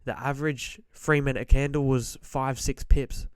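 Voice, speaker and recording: A man who is a bit sick and slightly hoarse, giving blunt, emphatic trading-psychology advice and clearing his throat often. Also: mid-range voice, fairly even intonation, measured pace, dry recording, studio quality. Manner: direct, emphatic, repeats key points. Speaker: forex trader giving advice. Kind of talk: motivational trading-psychology talk to camera.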